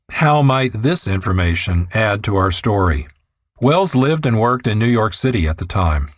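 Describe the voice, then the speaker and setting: Casting: male documentary narrator, fairly deep, formal and measured, very dry recording. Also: no echo.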